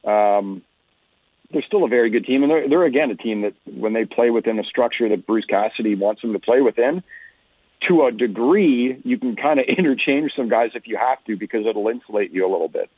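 Phone-line male hockey analyst, slightly husky. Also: medium pitch, a little fast, dry recording. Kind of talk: radio sports interview analysis. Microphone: remote phone-like connection. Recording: narrow phone-like line, muffled detail.

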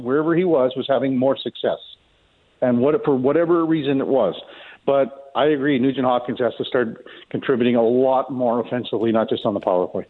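A man's voice: medium pitch, husky. A man giving folksy, conversational opinions as a guest commentator in casual sports radio talk, heard over a tinny, narrow line.

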